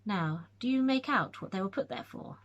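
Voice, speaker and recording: A woman's voice: mid-range voice, moderate pace, muffled recording, natural voice. A woman reading aloud, voicing a young male scholar who tells his tale eagerly, with nervous stumbles. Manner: lively, excited storytelling with hesitations.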